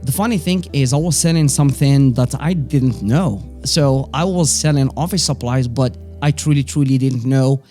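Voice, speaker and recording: Man in his forties, Moroccan accent, native Arabic and French, relaxed dark tone, casual storytelling, faint music. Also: mid-range voice, moderate pace, hardly any pauses. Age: 40s. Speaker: interview guest, business owner.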